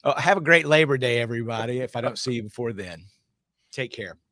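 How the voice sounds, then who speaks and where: A man's voice: mid-range voice, lively intonation, quick pace, sharp tone, no room sound, studio quality. A man giving casual commentary as a guest on a panel.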